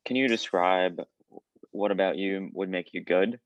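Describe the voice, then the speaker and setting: Male interviewer played by an improviser: very low voice, diplomatic, hesitant, comedy sketch, dry and slightly muffled recording. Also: no room sound. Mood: polite, hesitant. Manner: halting, diplomatic.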